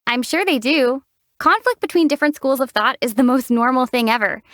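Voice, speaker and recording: A level-headed young traveler heroine, her voice high for a woman and recorded close and dry. She answers in a calm, gently wry way, with steady, unhurried delivery. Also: no room sound. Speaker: game protagonist. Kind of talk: video game character dialogue.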